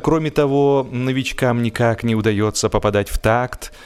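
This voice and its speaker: A man reading humorous literary prose as an audiobook narrator, with a theatrical, expressive delivery. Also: mid-range voice, normal speed, clear, resonant tone.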